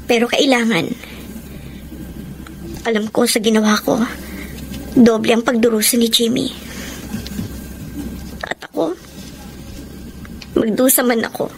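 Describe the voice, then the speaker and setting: A Filipino woman acting a guilt-ridden young bride in a romantic radio drama, with an anguished, drawn-out delivery. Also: on the high side, a little hiss or hum, poor audio. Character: heartbroken, guilt-ridden young bride who betrayed her fiancé. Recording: music under the voice.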